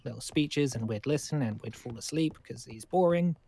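A man's voice: mid-range voice, normal speed, vocal fry, dark tone, slight background noise, lightly acted. A man playing a polite, awkward school survivor in a game roleplay, stammering and apologetic as he rambles. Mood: chatty.

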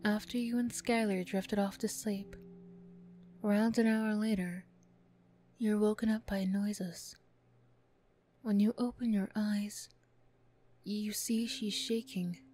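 Slow-talking woman narrating ASMR. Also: mid-range voice, dark tone. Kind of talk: soft ASMR story narration. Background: music, guitar.

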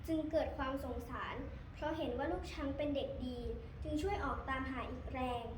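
A woman's voice: high-pitched, recorded from a distance. A girl of about twelve narrating a children's tale in a dramatic, declamatory recited style. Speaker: storytelling contestant. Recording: roomy echo, noticeable background noise.

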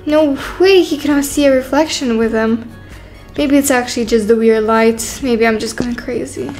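Woman acting a high-voiced, playful young girlfriend. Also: fairly even intonation, moderate pace, darkish tone, natural voice. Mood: worried, suspicious. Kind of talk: scripted roleplay story voice acting. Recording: music behind.

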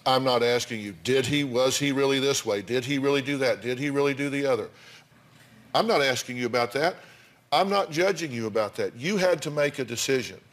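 An older man hosting a televised talk show, bluntly lecturing and needling his guests in a studio. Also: medium pitch. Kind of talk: confrontational talk show counseling. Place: TV studio with audience.

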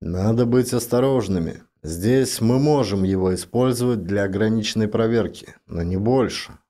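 Guy reading a serious careful scientist in a sci-fi audiobook, lowish dark voice, grim and measured. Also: close to an everyday voice. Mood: grave. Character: grim, cautious male scientist on space expedition. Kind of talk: audiobook drama character voice.

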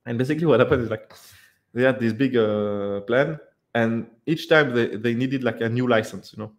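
A man's voice: mid-range voice, moderate pace. A man in his thirties hosting a live webcam Q&A, talking casually with many fillers and restarts, recorded in a dim indoor room. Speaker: livestream host answering viewer questions. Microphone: headset or desk mic, webcam framing.

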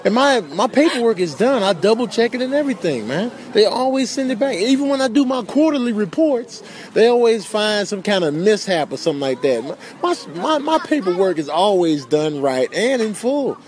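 A man's voice: sits high, sing-song, a little fast, a little mellow. A man voicing a weary, aggrieved deer office worker who grumbles repetitively in a comic voice-over.

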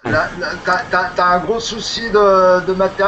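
A man's voice: sits high, unhurried, lo-fi sound, noisy background. A man co-hosting a video call from an office, talking urgently and repeating himself. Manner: urgent, repetitive. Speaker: co-host. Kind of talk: casual video call chat.

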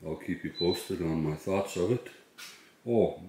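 A man's voice: sits low, unhurried, reverberant, very noisy. A middle-aged man chatting casually to viewers as a vlog host, in an informal, hesitant way. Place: inside a moving truck and a garage.

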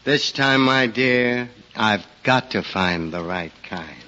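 A slow-talking henpecked idler of a husband, meek and slyly good-natured, with lively swoops and a bright edge. It is 1940s radio drama acting. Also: male voice, on the low side, heavily acted. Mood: weary, put-upon. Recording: muffled, noisy vintage radio recording.

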